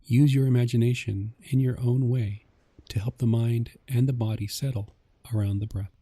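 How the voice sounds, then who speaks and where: A man narrating a guided meditation in a gentle, calming, measured way, with a soft voice. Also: medium pitch, slightly rough, dry recording.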